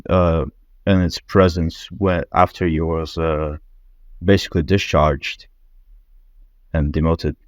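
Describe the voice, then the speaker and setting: A man asking informal interview questions in a hesitant, halting way, often stumbling over his phrasing. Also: rumbling low, fairly even intonation, no echo, studio quality.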